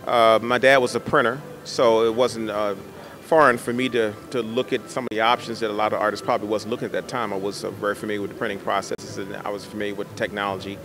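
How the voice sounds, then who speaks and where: A man's voice: lowish voice, little pitch movement, fast, over music. A middle-aged man, an artist, answering interview questions conversationally into a handheld microphone. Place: indoor art expo in a convention center. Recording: busy exhibition hall crowd behind. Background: crowd noise.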